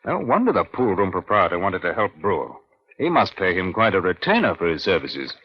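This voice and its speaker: An actor playing a senior British police inspector in an old-time radio crime drama, measured and authoritative with a dry, wry edge. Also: male voice, low-pitched, sing-song, breathy edge, exaggerated voice. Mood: wry, musing. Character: male senior Scotland Yard police inspector, brisk and wry. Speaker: narrator and lead detective. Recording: dull, lo-fi vintage radio recording.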